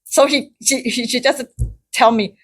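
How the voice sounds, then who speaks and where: Halting Taiwanese woman telling a story. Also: slightly rough. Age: middle-aged. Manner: halting, with many restarts and repeated words; laughs often, gets high and loud when excited. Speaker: club speaker. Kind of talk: personal story in a speaking club.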